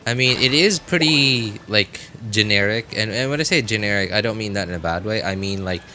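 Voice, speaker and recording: Bright, low-voiced man chatting on livestream. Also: fairly quick, soft background music. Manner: rambling, hesitant, often backtracking and hedging. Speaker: game livestreamer. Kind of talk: casual livestream chat with viewers.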